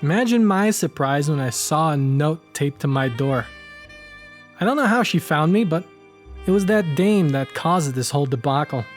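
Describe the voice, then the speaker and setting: A man's voice: on the high side, animated, moderate pace, faint music behind, lightly acted. Man acting a wisecracking hardboiled noir tough guy. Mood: cool, smug. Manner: wry, slangy, cocky. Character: wisecracking hardboiled tough guy narrating his own noir crime tale. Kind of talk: scripted noir storytelling.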